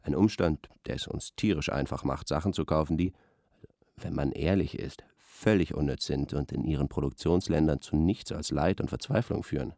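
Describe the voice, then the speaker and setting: A man's voice: a bass voice, normal speed, creaky, dark tone, dry recording, clean studio sound, exaggerated voice. An actor playing an earnest, pompous male intellectual who analyses like an academic in a radio play. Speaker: expert guest on a radio discussion. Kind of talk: radio play acting as an interview.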